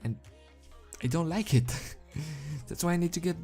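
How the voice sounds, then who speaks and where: A man who is not a native English speaker, reading and commenting as an amateur in a halting, giggly, self-deprecating way. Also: on the high side, dark tone. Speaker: amateur fan fiction reader. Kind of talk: story reading with casual commentary. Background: background music.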